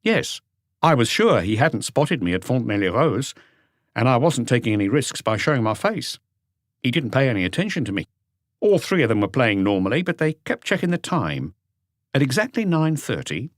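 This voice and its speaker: A man's voice: medium pitch, no echo, studio quality, lightly acted. A man voicing an eager, dutiful police inspector who reports to his chief in earnest detail, as an audiobook character.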